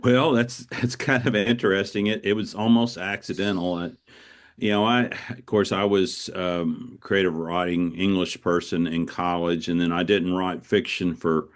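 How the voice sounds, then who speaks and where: Male author guest, low-pitched voice, reflective and anecdotal, with a halting conversational flow. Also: fairly even intonation. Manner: reflective, anecdotal, often repeats words as he goes. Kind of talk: conversational interview answers.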